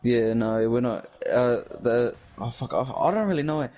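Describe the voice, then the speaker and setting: A man's voice: medium pitch, animated, moderate pace, a little mellow, dull audio. Hesitant man with a New Zealand accent. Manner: hesitant, full of ums and uhs. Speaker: gaming friend. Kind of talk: casual party chat.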